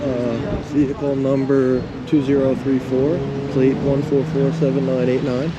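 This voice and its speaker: A man narrating a vlog casually as co-host, with a halting, filler-heavy delivery. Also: medium pitch, completely flat delivery, highs cut off. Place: outdoors in a car park. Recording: clear outdoor traffic noise.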